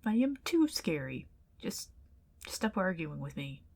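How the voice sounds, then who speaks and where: A woman's voice: low voice, very animated, unhurried, vocal fry, darkish tone, breathy edge, full character voice. A woman playing an indignant, flustered tsundere ghost in an ASMR roleplay, stammering and defensive as she protests. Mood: huffy, protesting.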